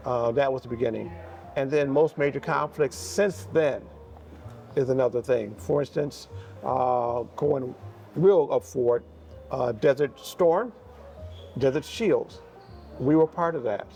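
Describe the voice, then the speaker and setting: A man in his sixties from Buffalo, New York, answering interview questions about his life in music in a reflective, unhurried way. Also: mid-range voice, dark tone. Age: 60s. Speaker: guest musician. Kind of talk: interview conversation.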